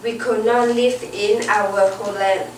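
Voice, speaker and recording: A woman's voice: mid-range voice, steady tone, a little slow, background noise. A woman student telling a personal story in earnest, simple learner's English. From Thailand-Myanmar border refugee camp. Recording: echoey room from a far mic.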